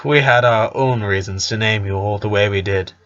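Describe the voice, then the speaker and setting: A man's voice: lowish voice, moderate pace, a bit bright, highs cut off, close to an everyday voice. A man voicing an easygoing, chatty middle-aged father from a storybook, fond of teasing and explaining. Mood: easygoing, reminiscing.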